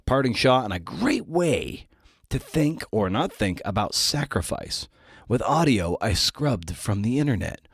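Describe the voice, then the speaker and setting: A man's voice: mid-range voice, very animated, a little slow, breathy edge, no echo, studio quality. A man speaking as a podcast host, introducing and interviewing a guest.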